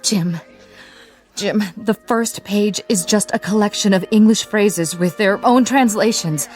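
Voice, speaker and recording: An actress playing a desperate woman in a radio drama, pleading urgently and haltingly with a colleague. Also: medium pitch, darkish tone, faint music behind, natural voice. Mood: anguished.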